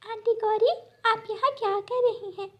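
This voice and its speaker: A woman's voice: extremely high pitch, steady tone, moderate pace, highs cut off, voice pushed up. A woman voicing a sad, innocent young girl bird in a cartoon, pleading childishly.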